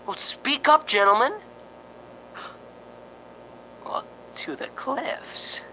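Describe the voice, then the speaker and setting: A man's voice: slow, gravelly, bright, forceful tone, full character voice, low-quality recording. A man playing a frightened young radio host unraveling into madness, jittery and theatrical in a radio drama. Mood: manic. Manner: theatrical, jittery, stammering and giggling. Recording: faint rumbling background noise.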